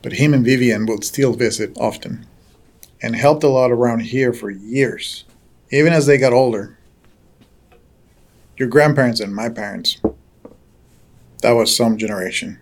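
An actor playing an older farm patriarch in an audio drama, courteous but probing, telling things in a folksy, unhurried way. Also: male voice, medium pitch. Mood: fond, reminiscing. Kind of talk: naturalistic audio drama dialogue.

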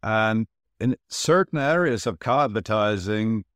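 Low-voiced man from Turkey explaining his work. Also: animated, unhurried, a bit bright, clean tone, dry recording, clean studio sound. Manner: thoughtful, explains with comparisons. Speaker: guest, studio founder. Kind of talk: interview answers.

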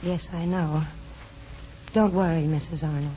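Earnest young hospital aide, hesitant, halting, old radio drama, muffled sound, faint hiss. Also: female voice, sits low, steady tone, warm tone. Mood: gentle, modest. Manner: hesitant, halting, restarts phrases. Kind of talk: 1950s radio drama acting.

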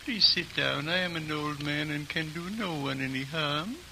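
An actor playing an ancient, frail High Lama who speaks in a slow, grave, measured way, in a 1940s radio drama. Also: male voice, creaky, bright, forceful tone, a little hiss or hum, full character voice. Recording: old radio broadcast with music.

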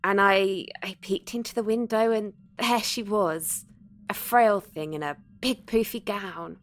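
A woman playing an eager, flustered, soft-hearted ghost in a conversational audio drama. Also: mid-range voice, unhurried, natural voice. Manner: hesitant, conversational, easily sidetracked.

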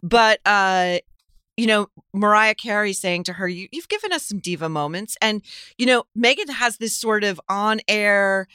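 A woman's voice: mid-range voice, moderate pace, bright, forceful tone, smooth, no echo, studio quality. A middle-aged woman giving wry, scornful commentary as a conversational talk show guest.

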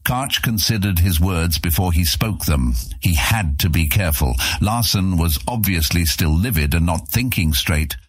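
Male audiobook narrator, low voice, lively intonation, dramatic fantasy storytelling, dry studio sound. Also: moderate pace, hardly any pauses, breathy edge, dry recording.

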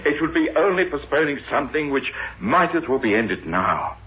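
An actor playing a haunted, tormented man in a 1960s radio horror drama, with dramatic, anguished, halting delivery. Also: a little high, very animated. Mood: anguished, defiant. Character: haunted, tormented man, a reluctant blood donor recounting a nightmare. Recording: muffled old radio recording with music.

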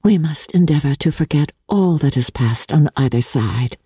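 A woman voicing a gentle, hopeful young gentlewoman in a dramatic reading of a classic novel, earnest and soothing in formal period diction. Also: sits low, animated, moderate pace, breathy edge, no echo, studio quality, exaggerated voice. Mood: hopeful, reassuring.

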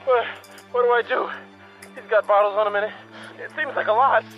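An actor playing a panicking young intern superhero in an audio drama, stammering, frantic and sweary. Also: male voice, sits high, steady tone, moderate pace, lo-fi sound, soft background music, close to an everyday voice. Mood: panicked.

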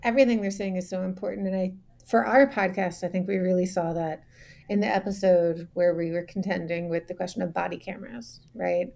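A woman's voice: on the low side, fairly even intonation, a little fast, vocal fry, darkish tone. A woman who hosts a podcast, speaking on a panel in an engaged way with fillers. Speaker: podcast host. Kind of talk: panel discussion.

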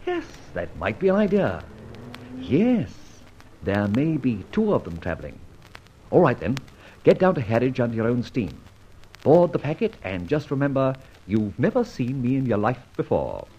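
An actor playing a suave, flippant gentleman adventurer in an old-time radio serial, with breezy old-fashioned slang. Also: mid-range voice, wildly swooping pitch, moderate pace. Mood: musing. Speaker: narrator and lead actor. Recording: muffled vintage radio recording, faint noise.

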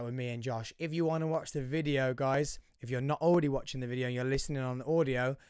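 A hoarse man with a husky voice, hosting his own podcast in a chatty, rambling solo intro. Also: mid-range voice, a little mellow, no echo, clean studio sound. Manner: chatty, rambling, addresses listeners as guys. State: hoarse, voice fading. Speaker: podcast host.

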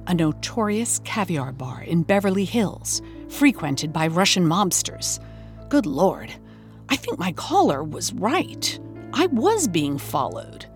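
A woman playing a wry investigative podcast host, narrating a scripted mystery with deliberate suspense. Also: lowish voice. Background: music.

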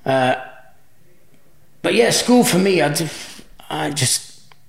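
A middle-aged man with a Cardiff accent and a gravelly edge to his voice, Welsh his first language. He chats easily as an interview guest, wandering through his story with frequent false starts. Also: on the high side, expressive, slow, a little hiss or hum. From Wales. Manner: rambling, restarts often, easygoing. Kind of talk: casual interview chat.

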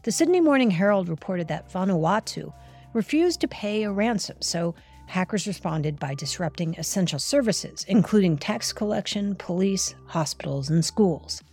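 A woman's voice: fairly low pitch, animated, normal speed, darkish tone, soft background music. A woman hosting a cyber news podcast, reading scripted narration in a conversational way. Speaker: podcast host.

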